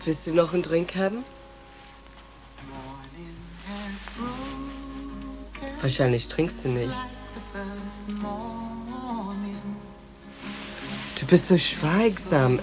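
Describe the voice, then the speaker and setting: Male actor as streetwise transvestite prostitute, provocative, blunt, higher-pitched, radio play, clear music underneath. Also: close to an everyday voice. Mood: coaxing, probing. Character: defiant, streetwise transvestite prostitute in New York. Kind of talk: German radio play acting.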